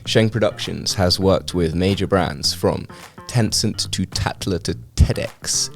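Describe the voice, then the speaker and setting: A man with a very low, deep voice hosts a casual studio interview, ribbing his guest with dry, teasing humor. Soft music sits faintly underneath. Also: unhurried, vocal fry. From Hong Kong. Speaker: podcast host. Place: video podcast studio.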